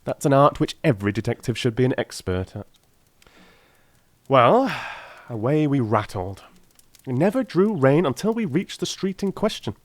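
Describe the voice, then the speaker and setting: A man playing a brisk, gleeful, self-assured gentleman detective in an audiobook, telling things in an animated, emphatic way. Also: mid-range voice, no room sound, pitched above the performer's own voice, natural voice.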